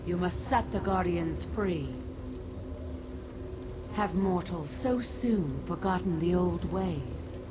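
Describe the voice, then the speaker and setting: Ancient elemental spirit for a fantasy game: lowish, very slow, solemn and stately. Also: female voice, background noise. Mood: solemn, imperious. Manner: stately, measured. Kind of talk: fantasy video game voice acting.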